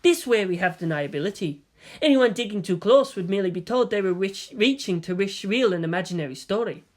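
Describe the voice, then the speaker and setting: A woman voicing a dry, amused old male deputy commander with measured delivery in a story reading. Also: medium pitch, expressive, darkish tone, close to an everyday voice. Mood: calm reasoning. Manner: measured, wry.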